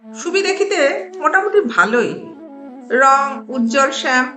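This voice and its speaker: A woman narrating a literary audio story in a measured, expressive storytelling manner. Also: high-pitched. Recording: roomy echo, music underneath.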